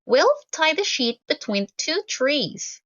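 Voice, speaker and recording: Animated high-voiced woman reading a storybook. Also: takes it slowly, lo-fi sound. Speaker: storybook reader. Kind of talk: scripted children's story read-aloud.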